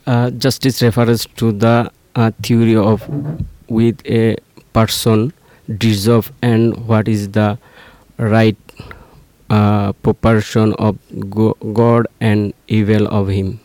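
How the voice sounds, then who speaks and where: A young man with a Bangladeshi accent answering interview questions in halting, fragmented English as a student. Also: lowish voice, flat delivery, darkish tone, dry recording.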